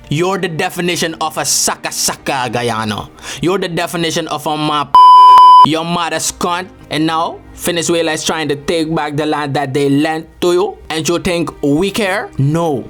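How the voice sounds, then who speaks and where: A man's voice: a little high, a bit bright. A young man, delivering a sarcastic, indignant comic rant as a mock letter to a rival. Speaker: comedic video creator. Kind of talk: mock love letter monologue.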